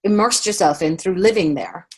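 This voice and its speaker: A woman's voice: on the low side, normal speed, husky, rough recording. A woman coach hosting an interview, encouraging and full of affirmations. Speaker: interview host, success coach. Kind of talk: casual interview chat.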